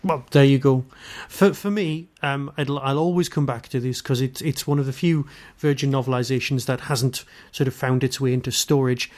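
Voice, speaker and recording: A man's voice: medium pitch, steady tone, normal speed, a little mellow. A man hosting a casual podcast interview in an easygoing, reassuring, conversational way.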